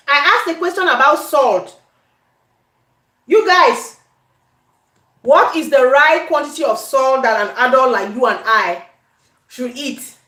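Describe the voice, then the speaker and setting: A woman with a Cameroonian accent and a high voice talks in an echoey kitchen. She is hosting a casual cooking chat, speaking to viewers in an animated, conversational way and often repeating herself for emphasis. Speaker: cooking vlogger. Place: indoor home kitchen. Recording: echoey room.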